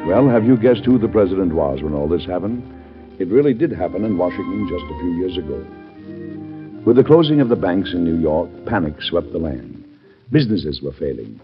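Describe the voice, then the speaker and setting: A man narrating an old radio drama from a script in a grave, measured storytelling manner. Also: sits low, lively intonation, over music. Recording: muffled old radio broadcast.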